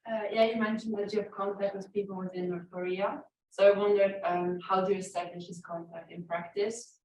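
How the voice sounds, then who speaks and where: A woman's voice: medium pitch, steady tone, fairly quick, darkish tone, slight background noise. A woman in the audience asking the speaker questions, hesitant and filling gaps with "um". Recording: distant voice in an echoey room.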